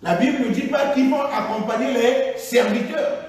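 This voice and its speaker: Man, pastor, fiery sermon full of alleluias, fairly high voice, picked up from afar in a big reverberant church hall. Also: moderate pace. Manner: emphatic preaching, frequent alleluias, shifts between loud and hushed. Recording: echoey church hall, voice from far.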